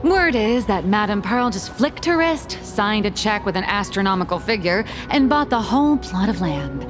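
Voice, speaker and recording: A woman plays an unflappable female trickster from a video game, in a mid-pitched voice. She is glib, teasing and dryly witty, over clearly audible music. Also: sing-song, normal speed, lightly acted. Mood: wry, gossipy. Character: cheeky, unflappable female trickster with dry wit. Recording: music behind the voice.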